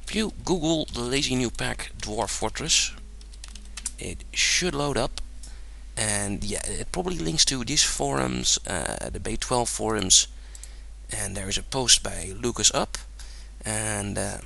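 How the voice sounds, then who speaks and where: A man's voice: on the low side, vocal fry. A young man with a Dutch accent, casually narrating a game tutorial over his screen, rambling with lots of fillers and little chuckles. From Netherlands. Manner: relaxed, rambling, many fillers, chuckles often.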